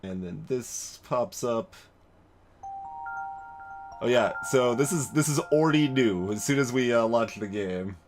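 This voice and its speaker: A man doing casual live game commentary as a streamer, thinking aloud in a halting, wry way. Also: medium pitch. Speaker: let's play streamer. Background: game music.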